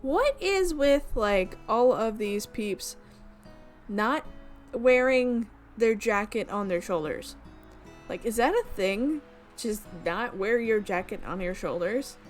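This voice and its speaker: A woman's voice: a little high, animated, slow, soft background music. A young woman reading a visual novel aloud and tossing in casual, chatty comments and giggles. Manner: casual, chatty asides and giggles between narration. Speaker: let's play streamer.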